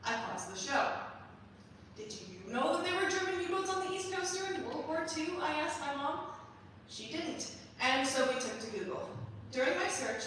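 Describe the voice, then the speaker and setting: Young woman reading out a prepared talk on stage, kinda high voice, super echoey and far from the mic. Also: a bit bright. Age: young adult. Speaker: student composer and presenter. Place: large performance hall. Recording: very echoey hall, distant mic, faint noise.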